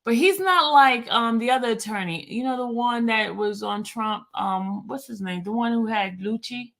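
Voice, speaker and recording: Lady hosting a live chat, kinda high voice, reads comments out, animated. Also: moderate pace, vocal fry. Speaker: livestream host. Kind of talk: casual livestream chat.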